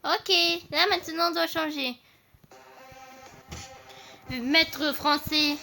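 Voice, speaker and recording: A woman chats casually during a game in a high voice with a bright edge. She hesitates and gets excited easily, and she drops slang as she talks. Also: slow pace, gravelly, faint music behind. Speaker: co-player. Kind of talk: casual chat while playing a game.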